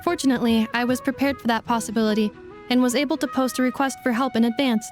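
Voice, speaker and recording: Woman as polite female robot, video game, high-pitched, formal, analytical, exact delivery, background music. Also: steady tone, lightly acted. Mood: grave, matter-of-fact. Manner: formal, precise, measured. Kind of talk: video game cutscene acting.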